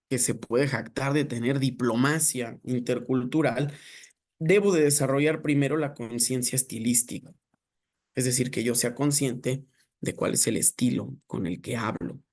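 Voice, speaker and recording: A man giving an academic lecture, speaking in a measured, reflective way with filler pauses. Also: mid-range voice, a little mellow.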